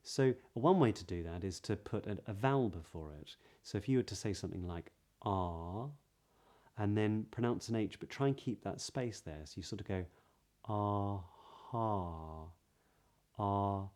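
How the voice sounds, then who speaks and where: A man with a southern British English accent giving a pronunciation lesson as a voice coach, explaining clearly and modelling sounds. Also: on the low side, unhurried, a little mellow. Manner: clear, demonstrative, breathy example sounds.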